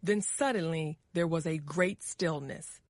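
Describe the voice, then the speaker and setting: An American woman narrates a scripted story for language learners. Her voice is fairly low and very slow, every word carefully set out, in a dry recording. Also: dry recording. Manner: measured, carefully enunciated.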